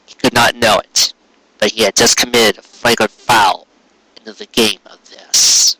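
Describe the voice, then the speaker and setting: A man narrating scripted fiction as a storyteller, with an expressive, dramatic and florid delivery. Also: on the low side, a little slow, slightly rough, no room sound, low-quality recording.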